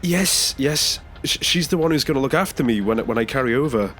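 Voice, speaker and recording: A man's voice: mid-range voice, lively intonation, fairly quick, fairly bright tone, natural voice. A man playing a nervous, earnest passenger in an audio drama, speaking hesitantly with small stammers. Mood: nervous, defensive. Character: nervous ageing male passenger seeking a new body. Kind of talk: naturalistic audio drama acting.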